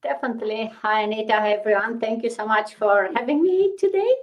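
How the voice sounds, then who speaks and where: A woman's voice: fairly high pitch, brisk, lo-fi sound. A middle-aged woman from Romania speaking casually as an interview guest, telling her own story.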